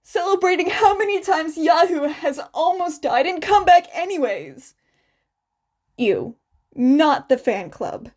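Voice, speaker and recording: A woman's voice: very high voice, lively intonation, takes it slowly, darkish tone, pitched above the performer's own voice, exaggerated voice. A woman voicing a friendly, gushing male cosplay fan who chatters eagerly in a story reading. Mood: apologetic, cheerful.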